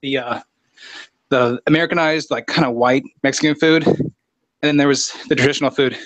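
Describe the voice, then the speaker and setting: A man's voice: mid-range voice, fairly even intonation, a little fast, fairly bright tone, no echo, rough recording. A man chatting casually, laid-back and blunt, swearing now and then. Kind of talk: casual chat.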